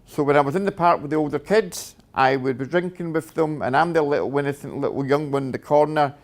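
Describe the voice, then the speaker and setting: A man's voice: a little high, fast talker. A middle-aged man with a Scottish accent tells his own life story to an audience in a plain, direct way, with many "uh" fillers and "okay?" check-ins. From Scotland. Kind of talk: personal testimony talk.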